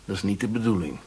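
An actor playing a fat, shady Chinese merchant in a radio play, mocking and philosophical, with a deliberate, taunting way of talking. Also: male voice, lowish voice, noisy background, lightly acted. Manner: sardonic, taunting, deliberate, given to aphorisms.

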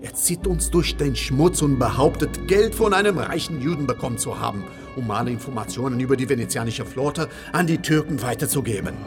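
Man acting anxious merchant conspirator, medium pitch, secretive, measured, radio drama, music behind. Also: expressive, close to an everyday voice. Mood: indignant.

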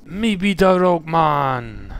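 Man playing an indignant, lively troll rogue boss. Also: on the high side, takes it slowly, clear, resonant tone. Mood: cocky. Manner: grumbling, tags phrases with "Mann". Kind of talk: German audio-play parody acting.

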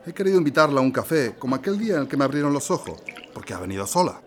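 A man playing a conciliatory, courteous businessman in a radio drama, measured and polite. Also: mid-range voice, sing-song, close to an everyday voice.

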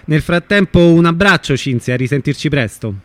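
A man's voice: on the high side, animated, brisk, fairly bright tone, dry recording. A man hosting a radio show in a conversational presenting style, introducing and questioning guests. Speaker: radio host.

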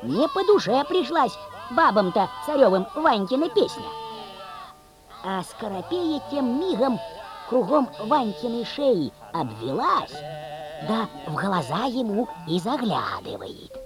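A folksy fairy-tale storyteller in an audio play. The delivery is singsong and rustic, high-pitched and wildly animated, over clear music. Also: female voice, slow pace. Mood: folksy storytelling. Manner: singsong, expressive folk narration. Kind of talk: theatrical folk-dialect storytelling. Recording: music behind the voice.

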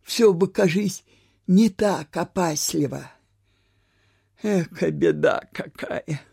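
An actress playing a fearful, superstitious peasant servant woman in a Soviet radio play, sighing and lamenting in folksy speech with a husky, creaky voice. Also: on the low side, takes it slowly, warm tone, heavily acted. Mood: anxious, lamenting.

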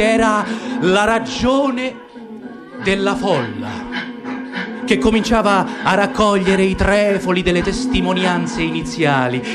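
A man giving a theatrical, scripted literary narration for radio theatre. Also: high-pitched. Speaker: actor narrating a literary reading.

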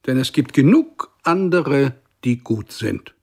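A man's voice: medium pitch, animated, slow, a little mellow, breathy edge, full character voice. A man acting a strict, ambitious father and court official in a German radio drama, pompous, cajoling and demanding. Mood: nagging, demanding.

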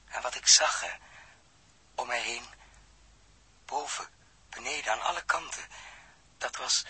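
An actor playing a grave, brooding stranded astronaut in a radio drama, speaking haltingly and intensely in a husky, breathy voice. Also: male voice, medium pitch, pushed and bright, a little hiss or hum. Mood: awed, haunted. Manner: halting, intense, pausing between phrases. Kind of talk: 1960s radio drama acting.

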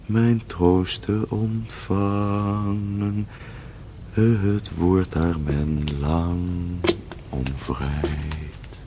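A lovesick young poet, radio drama verse, very slow, somewhat low voice, mournful. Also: male voice, a little mellow, a little hiss or hum. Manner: measured, mournful verse delivery. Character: lonely lovesick young male poet wandering at night.

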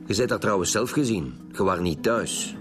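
A Flemish actor playing a stern, impatient homicide detective who questions bluntly in a radio drama. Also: male voice, fairly low pitch, lively intonation, normal speed, music in the background, natural voice. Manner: blunt, interrogating. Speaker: police detective.

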